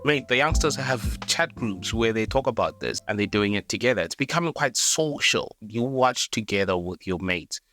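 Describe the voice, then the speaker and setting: A man's voice: on the low side, animated, normal speed, few pauses, sharp tone, soft background music. A South African man hosting a documentary-style video, speaking earnestly and directly to the viewer. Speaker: video essay host. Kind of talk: scripted documentary narration.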